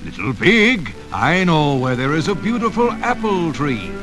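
A man doing a sly, slow-talking wolf. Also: sing-song, over music. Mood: sweet-talking. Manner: slow, drawn-out and booming. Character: big sly male wolf, menacing. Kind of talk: storybook reading.

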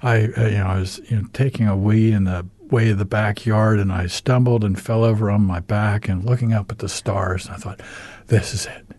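A man in his fifties with an American accent, telling his story with plenty of ums and uhs and a dry, wry humor. His voice is mellow and a little dark. It sounds close and dry on a studio microphone. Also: fairly low pitch, fairly even intonation, brisk, no room sound. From United States. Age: 50s. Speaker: radio show guest. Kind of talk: candid storytelling interview.

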